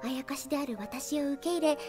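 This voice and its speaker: A woman's voice: sits high, fast, music in the background, natural voice. A woman playing a possessive, sweetly polite spirit girl who is lovesick, in an anime audio drama, with a doting, insistent manner. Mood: possessive, adoring.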